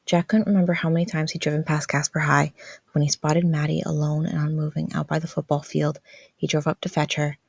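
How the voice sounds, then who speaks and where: Woman, story narrator, low pitch, dry sound, scripted reading. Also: little pitch movement, a little fast, gravelly, darkish tone, slightly rough, no echo. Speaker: podfic narrator. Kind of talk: scripted fan fiction narration.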